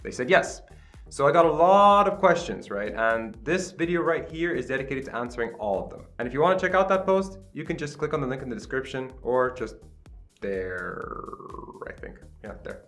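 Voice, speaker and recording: Man, late twenties, from Qatar, casual vlog, upbeat, self-deprecating, middle pitch, faint background music. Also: expressive, moderate pace, fairly bright tone. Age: late 20s. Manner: chatty, upbeat, self-deprecating, many filler "right?"s. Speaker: channel host. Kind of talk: casual vlog talk to camera. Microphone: camera with self-admitted poor sound.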